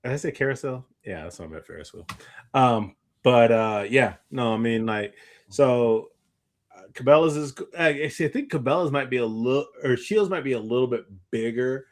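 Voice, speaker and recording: A man's voice: medium pitch, a little fast. A tired man hosting a podcast, chatting casually in a rambling way and often backtracking mid-sentence. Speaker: podcast host. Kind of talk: casual chat.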